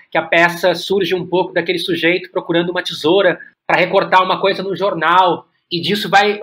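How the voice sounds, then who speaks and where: A Brazilian man with a notably high-pitched voice and a bright, forward tone. He talks reflectively in an interview, circling back and repeating words while he works out his thought. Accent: Brazilian Portuguese. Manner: hesitant, searching, repeats words while thinking aloud. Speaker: guest playwright and director. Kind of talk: reflective podcast interview talk.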